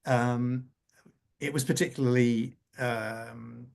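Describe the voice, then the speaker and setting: A man speaking as a scriptwriter in an unscripted panel conversation, halting and often repeating words as he goes. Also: mid-range voice, little pitch movement, creaky, darkish tone.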